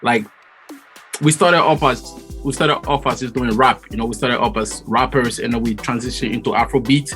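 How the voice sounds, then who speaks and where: A man's voice: mid-range voice, moderate pace, fairly bright tone, faint music behind. A man born in Togo, a musician, talking in a friendly, loose and slangy way as a guest in a casual interview.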